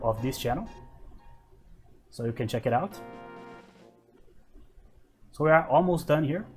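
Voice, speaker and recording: A man in his thirties from Brazil, speaking English with a Brazilian Portuguese accent, talks through a retro game in an easygoing way. He thinks aloud with lots of little fillers, at an ordinary middle pitch, with soft music playing faintly underneath. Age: 30s. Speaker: gaming channel host. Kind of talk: casual let's play commentary.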